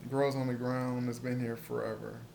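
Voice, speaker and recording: A man with a soft voice, stuttering and repeating words as he talks casually to the camera in a personal vlog. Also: mid-range voice, little pitch movement, vocal fry, a little hiss or hum. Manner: stuttering, halting with many repeated words and fillers. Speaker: vlogger.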